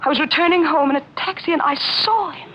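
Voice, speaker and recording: An actress playing a jealous, scheming mystery novelist who narrates an old-time radio thriller with melodramatic intensity and dramatic pauses. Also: female voice, high voice, natural voice. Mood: haunted. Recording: muffled old radio recording, loud music.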